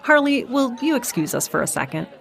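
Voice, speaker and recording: An actress playing a cocky, teasing young trainee spy in an audio drama, with a playful manner. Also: female voice, on the high side, big pitch swings, a little fast, gravelly, fairly bright tone, heavily acted.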